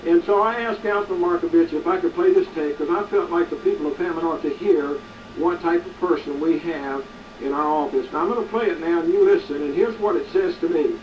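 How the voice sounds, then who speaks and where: A man's voice: on the high side, a little fast. A man, a community activist hosting a local show, gives an indignant, preachy commentary with many hesitations. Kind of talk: editorial commentary to camera. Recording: distant, dull, slightly noisy recording.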